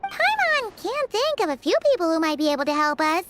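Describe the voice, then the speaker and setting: A woman's voice: extremely high pitch, huge pitch swings, fairly quick, heavy background noise, full character voice. A woman voicing a small, chirpy, talkative fairy companion with a childlike manner in a video game. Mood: pondering. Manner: refers to herself by name in third person.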